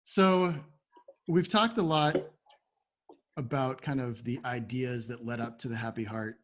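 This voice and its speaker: A man's voice: mid-range voice, unhurried. A man hosting a podcast interview, asking questions in a conversational way.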